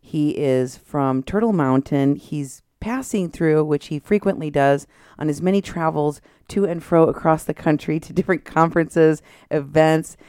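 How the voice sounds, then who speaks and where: A woman's voice: deep voice, moderate pace, darkish tone, smooth, no echo. A woman from Texas hosting a casual radio talk show in a studio, chatting easily with fillers. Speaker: radio host.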